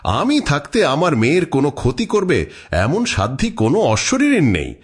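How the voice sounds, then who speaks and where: Guy doing a cartoon village ghost-buster dad, highish husky voice, super dramatic, protective. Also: huge pitch swings, normal speed, full character voice. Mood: reassuring, protective. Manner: theatrical, emphatic. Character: village exorcist father, bold, protective and dramatic. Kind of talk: dramatised horror cartoon dialogue.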